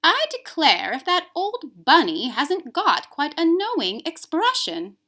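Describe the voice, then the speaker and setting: A woman voicing a brisk, grumbling nursery nanny from a storybook, exclaiming with drawn-out, emphatic words. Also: very high-pitched, wildly swooping pitch, sharp tone, voice pushed up, full character voice. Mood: surprised. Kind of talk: storybook read-aloud character voice.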